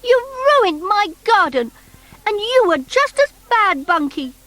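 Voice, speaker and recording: A woman voicing a small, earnest, excitable toy boy in a children's storybook, with an emphatic, childlike delivery. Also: very high voice, takes it slowly, no echo, pitched above the performer's own voice, heavily acted. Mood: cross, scolding.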